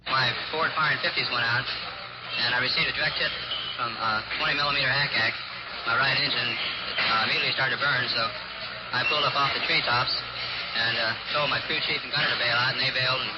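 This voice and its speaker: A man's voice: medium pitch, fairly even intonation, fast talker. An American man, a military pilot, giving a matter-of-fact recorded eyewitness account. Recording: dull, very noisy vintage field recording.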